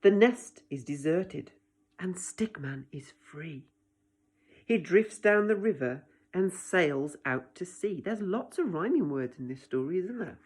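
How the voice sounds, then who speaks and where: Woman teacher doing storytime, takes it slow, voice a bit low, dramatic, talks right to the kids. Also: expressive, a little mellow, husky. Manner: dramatic, addressing young listeners directly. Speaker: children's story reader, teacher. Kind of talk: expressive picture-book reading with asides to children.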